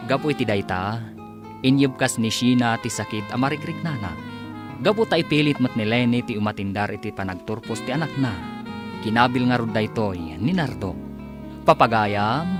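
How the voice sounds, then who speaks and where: A man giving scripted dramatic narration as the announcer of a radio serial. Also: mid-range voice. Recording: music behind the voice.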